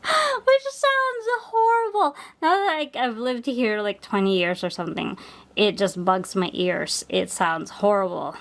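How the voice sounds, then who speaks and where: Filipino woman in her 40s, slow and chatty, hobby vlogger muttering while she stitches. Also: mid-range voice, expressive. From Philippines. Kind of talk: casual stitch-along chat.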